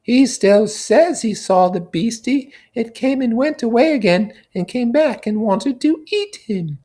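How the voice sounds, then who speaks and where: A man's voice: very high voice, expressive, measured pace, mellow, voice pushed up, exaggerated voice. A man voicing a small, frightened, earnest little boy in a storybook reading. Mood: anxious, earnest. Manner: childlike, earnest. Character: small frightened little boy's mouthpiece, reporting a beastie.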